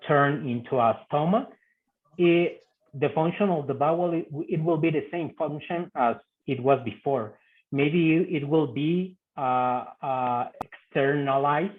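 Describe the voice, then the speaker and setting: A man with a Mexican Spanish accent presents a medical topic in English, his second language. He talks in halting phrases, stopping often on fillers and repeated words. His voice sits at an ordinary pitch and comes through a narrow, phone-like video call line. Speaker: guest speaker, physician.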